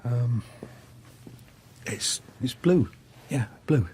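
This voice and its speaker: A man playing an awkward, gentle would-be stepfather in a radio drama, with a hesitant, casual, kindly manner. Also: medium pitch, lively intonation, drawn-out words, breathy edge, exaggerated voice. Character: awkward, gentle man courting a child's trust.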